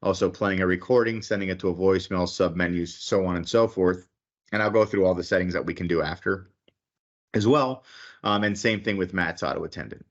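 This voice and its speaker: Male presenter, lowish voice, casual webinar demo delivery, filler-heavy, dull lo-fi audio. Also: a little fast. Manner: casual, frequent "okay" and "um" fillers. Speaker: product presenter. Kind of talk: webinar product walkthrough.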